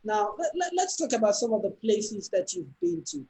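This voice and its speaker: A man's voice: high-pitched, expressive, moderate pace, vocal fry, warm tone, a little hiss or hum. Enthusiastic male host. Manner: enthusiastic, complimentary. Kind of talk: interview host.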